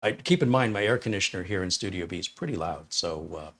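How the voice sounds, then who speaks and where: A talkative man in his fifties or sixties with a fairly low voice, from Detroit. He hosts a relaxed live tech stream from a small studio room, rambling between tasks and giving his opinions bluntly. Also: quick pace. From Detroit, USA. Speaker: tech reviewer host. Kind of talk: casual live-stream chat answering viewer questions.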